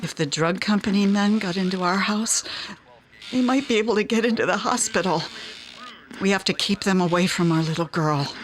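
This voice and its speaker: A woman's voice: mid-range voice, normal speed, close to an everyday voice. Haunted, paranoid mother played by an actress. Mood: fearful, resolute. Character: haunted, paranoid, protective mother, controlling her partner. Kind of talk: audio drama acting.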